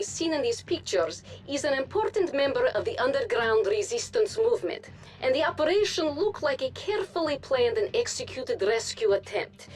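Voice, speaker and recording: An actress playing a composed, authoritative Soviet propaganda news anchor, reading formally in a video game broadcast. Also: female voice, a little high, natural voice. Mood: formal newsreading. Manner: formal, measured delivery.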